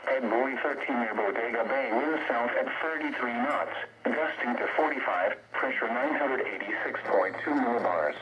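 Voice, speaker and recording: A computer-voiced man reading automated weather observations in a steady, list-like way. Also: mid-range voice, moderate pace. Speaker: weather radio announcer. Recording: noisy radio reception.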